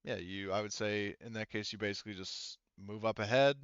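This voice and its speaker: A man's voice: lowish voice, steady tone, a little fast, a bit bright, smooth, no room sound, muffled recording. A man acting as game master, narrating a tabletop roleplaying game in a casual, hesitant way. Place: indoors, online voice session. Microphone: headset.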